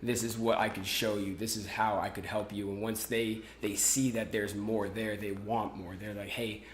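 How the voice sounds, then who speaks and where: Young man, low voice, echoey room. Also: moderate pace, a little hiss or hum. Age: 20s. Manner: relaxed, many fillers and restarts. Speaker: podcast guest. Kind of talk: casual interview talk.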